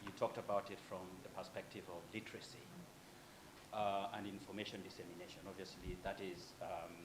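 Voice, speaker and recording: A man speaking as a panelist, measured and pausing with fillers. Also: low-pitched, level tone, background noise. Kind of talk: panel discussion talk.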